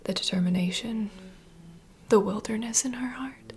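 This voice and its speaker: Female vampire bartender played by a woman, ASMR roleplay. Soft breathy whisper, confiding, unhurried mentor, clear ambient noise behind. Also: on the high side. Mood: hushed storytelling.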